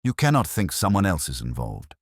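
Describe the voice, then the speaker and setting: A man's voice: sits low, huge pitch swings, brisk, no room sound, studio quality, full character voice. A man voicing a prim, affronted village woman with a stiff, bristling manner in a mystery story.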